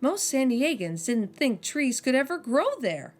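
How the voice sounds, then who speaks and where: A woman reading a children's picture book aloud as a storyteller, slowing down and dropping soft and low on each refrain. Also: very animated, faint music behind.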